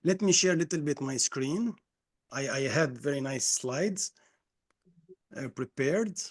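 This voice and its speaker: A man from Lebanon, an executive coach, presenting on a video call. He talks fast and often restarts his sentences. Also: fairly high pitch, animated. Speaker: executive coach, guest speaker. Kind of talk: webinar presentation.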